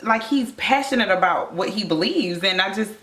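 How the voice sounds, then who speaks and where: A woman's voice: medium pitch, expressive, moderate pace, a bit bright. An American woman in her thirties chatting casually as a vlog co-host, thinking aloud in a colloquial, slightly hesitant way. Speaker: vlog co-host, movie reviewer. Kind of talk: casual conversational review. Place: small indoor room with bare wall. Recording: echoey untreated room.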